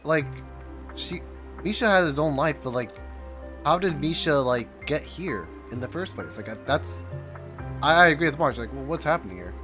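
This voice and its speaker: A man's voice: fairly high pitch, lively intonation, normal speed, a bit bright, faint music behind. A man doing casual, informal live reaction commentary on a game and chatting to viewers.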